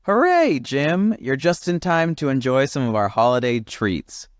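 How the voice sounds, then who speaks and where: A man's voice: medium pitch, sing-song, measured pace, no echo, studio quality, voice pushed up. A man voicing a jolly, eager bullfrog in a storybook with a hearty manner. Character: jolly, enthusiastic male bullfrog offering treats.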